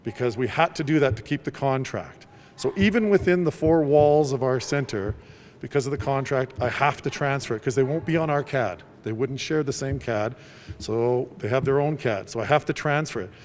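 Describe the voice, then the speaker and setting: A man's voice: mid-range voice, normal speed, a little mellow, slight background noise. A man speaking as an emergency services official, explaining a procedure step by step and acting out bits of dialogue. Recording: long echoing reverb of a hall.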